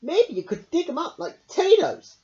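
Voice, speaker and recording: Guy doing a timid hedgehog in a storybook, high and fretful, lo-fi muffled sound. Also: huge pitch swings, moderate pace, mellow, exaggerated voice. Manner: hopeful, fretful. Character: hopeful, timid male hedgehog. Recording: dull lo-fi recording.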